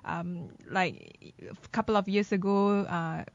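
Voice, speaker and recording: A woman co-hosting a casual news commentary show, chatty and quick to laugh. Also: medium pitch, steady tone, vocal fry, dry recording. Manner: chatty, laughs often, many fillers. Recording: dull lo-fi podcast audio.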